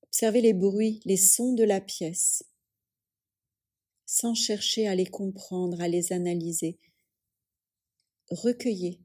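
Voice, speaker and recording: A woman guides a yoga nidra relaxation in a calm, soothing manner. Her voice is mellow and relaxed, at a medium pitch. She speaks very slowly, in measured phrases with long pauses between them. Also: breathy edge.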